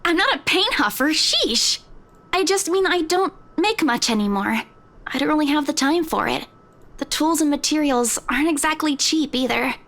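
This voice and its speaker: An eager young estate agent woman from a game. Her voice is high and light. She talks quickly when flustered and turns defensive under criticism. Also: lively intonation, a bit bright. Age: young adult. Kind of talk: video game voice acting.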